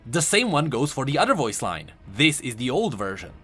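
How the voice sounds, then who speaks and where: A man's voice: mid-range voice, big pitch swings, normal speed, fairly bright tone, breathy edge. A man doing an enthusiastic, conversational voice-over commentary as a video host. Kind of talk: game lore commentary voice-over.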